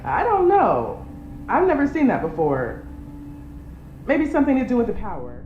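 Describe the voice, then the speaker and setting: A woman's voice: a little high, wildly swooping pitch, moderate pace, darkish tone. A woman playing a friendly, chatty ultrasound technician in an analog horror drama. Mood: puzzled. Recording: muffled, echoey room, faint background noise.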